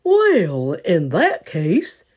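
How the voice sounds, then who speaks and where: A woman voicing a mild, absent-minded father bear in a storybook, hesitant and deliberate. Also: on the low side, wildly swooping pitch, darkish tone, husky, full character voice. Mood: polite, stubborn.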